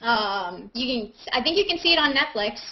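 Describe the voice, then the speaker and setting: A woman hosting an online group hangout, chatting casually and informally and reading aloud in an animated way. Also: fairly high pitch, moderate pace. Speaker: host of a video hangout, actress. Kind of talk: casual video-call chat and reading comments aloud. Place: online group video call. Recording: dull, lo-fi video call audio.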